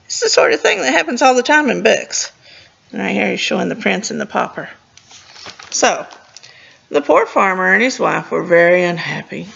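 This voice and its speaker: Woman, very creaky bright voice, expressive storytime read-aloud, casual asides, slightly dull recording. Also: mid-range voice, normal speed. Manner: expressive, stumbling over words and commenting as she goes. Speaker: librarian reading a storybook to children.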